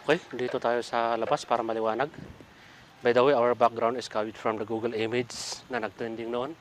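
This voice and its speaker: A middle-aged Filipino-accented man chats while sorting books outside, pausing often with fillers as he reads titles aloud. His voice sits mid-range, picked up by a camera standing some way off, with a faint hiss in the background. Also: mid-range voice, flat delivery. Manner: chatty, reading out titles with frequent fillers. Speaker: vlogger sorting donated library books. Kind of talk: casual vlog talk mixing English and Tagalog. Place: outdoors beside a building.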